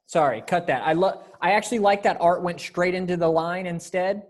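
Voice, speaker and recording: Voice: medium pitch, a little fast. A person casually directing a group readers theater rehearsal, hosting and giving instructions.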